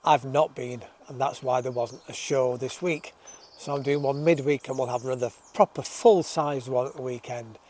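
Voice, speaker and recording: A man hosting an allotment gardening show outdoors, chatting cheerfully to viewers and reading their comments, his voice still a little croaky after an illness. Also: mid-range voice, fairly even intonation, moderate pace, darkish tone. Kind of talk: casual chat to camera. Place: outdoors on an allotment, birdsong around.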